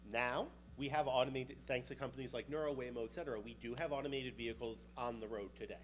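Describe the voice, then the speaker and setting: A man's voice: mid-range voice, fairly even intonation, fast talker, slight background noise. New York man giving a casual conference talk. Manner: casual and chatty, with a joking aside here and there. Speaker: researcher presenting at a conference.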